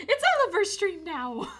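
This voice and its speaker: A woman voicing a cheeky, tiny pet creature with a squeaky, exaggerated, high voice. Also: a bit bright, exaggerated voice.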